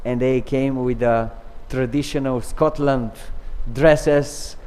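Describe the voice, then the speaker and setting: Man, devotional lecture, warm mellow tone, long hall reverb. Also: medium pitch, steady tone, smooth. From Bulgaria. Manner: earnest, storytelling with pauses and restarts. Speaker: scripture class speaker.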